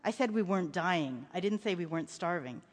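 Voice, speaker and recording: A woman's voice: fairly low pitch, animated, a little fast, voice pushed down. Weary protective father, acted by a woman, terse, stern, dramatic book reading, long hall reverb. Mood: quiet, weary.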